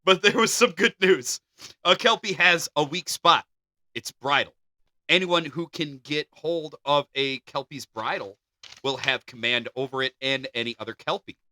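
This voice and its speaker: A man's voice: on the high side, normal speed, no echo. A man co-hosting a podcast, reading folklore aloud and joking between the passages. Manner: animated, jokey. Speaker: podcast co-host.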